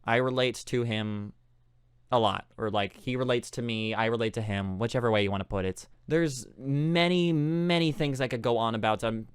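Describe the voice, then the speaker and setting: A man giving a casual, heartfelt fan tribute in an earnest, halting way. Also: mid-range voice, clear, resonant tone, dry recording, studio quality. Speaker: fan contributor, aspiring voice actor.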